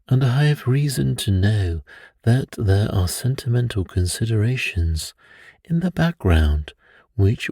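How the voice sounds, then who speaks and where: A man voicing a brilliant Victorian gentleman detective in a bedtime story, precise and assured. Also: lowish voice, slightly rough, dry recording, clean studio sound, exaggerated voice.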